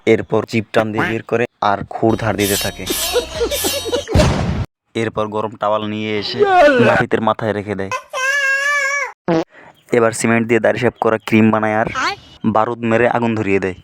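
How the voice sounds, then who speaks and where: A man's voice: fairly low pitch, little pitch movement, normal speed, pauses often. Bangladeshi man narrating over heavy noise. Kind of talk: scripted comic cartoon narration. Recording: very noisy track with clicks.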